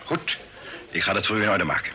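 Unhurried baggage clerk, lowish voice, helpful, radio play, clear noise. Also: male voice, expressive. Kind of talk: Dutch radio play acting. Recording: noisy old radio recording.

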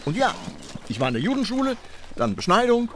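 An actor playing Goethe as an elderly, self-assured privy councillor in a German radio play, ironic, teasing and given to exclamation. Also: male voice, extremely high pitch, sing-song, a little fast, a little hiss or hum.

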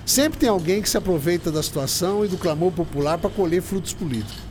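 A man's voice: high voice, animated, quick pace, darkish tone, a little hiss or hum. An actor playing an affable, chatty old grandfather with a Brazilian accent in an educational radio drama. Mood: concerned, knowing.

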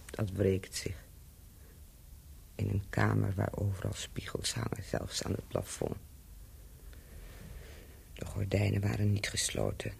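An actress playing a cynical, bittersweet former prostitute and spy in an intimate radio play, with a husky, breathy voice that often drops to a whisper. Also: female voice, a bass voice, darkish tone, slight background noise, exaggerated voice. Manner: slow, deliberate, bittersweet and mocking. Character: world-weary cynical ex-prostitute and former spy, now hotel keeper.

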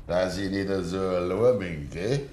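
Old Bavarian farmer, 1950s radio play: low, very slow, stubborn but tender. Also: male voice, a little mellow. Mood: quiet, confiding. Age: older. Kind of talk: 1950s German dialect radio drama acting.

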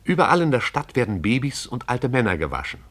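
A man narrating a radio play in a measured, poetic storytelling manner. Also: medium pitch, sing-song, a bit bright.

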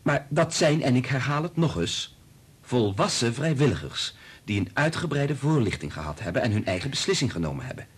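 An actor playing a principled, earnest doctor in a radio play, speaking firmly and deliberately. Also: male voice, medium pitch, very animated, husky. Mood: firm, indignant. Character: principled, earnest male doctor and family friend. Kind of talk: Dutch radio play acting.

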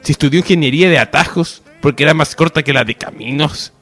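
A man's voice: fairly high pitch, quick pace, a bit bright. A man playing an earnest confidant in a parody radio soap.

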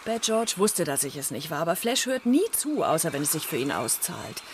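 A woman's voice: low voice, very animated, normal speed. An actress playing an aggrieved, weary woman explaining herself in a German radio crime drama. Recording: clearly noisy outdoor scene ambience.

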